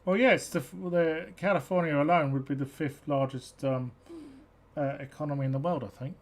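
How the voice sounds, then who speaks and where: A woman's voice: deep voice, steady tone, fairly quick. A woman host with a British accent with a slight South African twang, chatting casually and hesitantly with many ums. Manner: hesitant, lots of ums and restarts. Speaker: show host. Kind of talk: casual chat.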